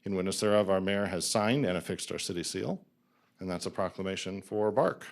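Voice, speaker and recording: Lowish-voiced council member, easygoing, chatty. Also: male voice, brisk, creaky. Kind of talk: reading a proclamation, then chatting. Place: city council chambers.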